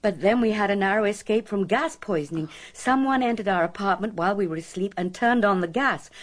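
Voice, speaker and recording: An actress in a radio drama playing a frightened, haunted married woman who confides her past with dramatic, deliberate pauses. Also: mid-range voice, close to an everyday voice. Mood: uneasy.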